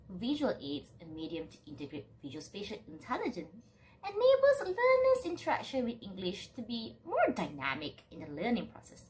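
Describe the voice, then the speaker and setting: A woman's voice: a little high, a little hiss or hum, low-quality recording. A young adult woman giving a scripted academic presentation in an emphatic, formal delivery, as a student presenter.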